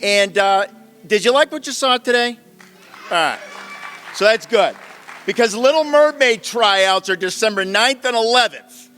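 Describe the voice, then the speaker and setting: A man's voice: sits high, lively intonation, a little slow, long pauses, sharp tone, some chatter behind. A man giving informal, off-the-cuff thanks to an audience in a folksy, chatty way. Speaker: theater organization representative giving closing thanks. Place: theater hall at a stage performance. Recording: hall reverb, faint music behind.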